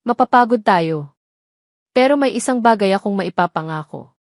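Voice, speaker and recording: A sincere man in love speaks softly and earnestly, full of regret and devotion, read by a woman. It is romantic audio drama storytelling, recorded very dry, at a medium female pitch. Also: animated, moderate pace, dry recording, natural voice. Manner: earnest, soft-spoken.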